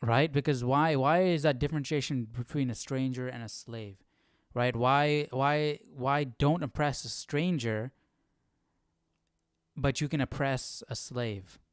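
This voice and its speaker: A man's voice: mid-range voice, smooth, no echo, clean studio sound. A man hosting a casual live Bible study, thinking aloud, questioning and often repeating phrases.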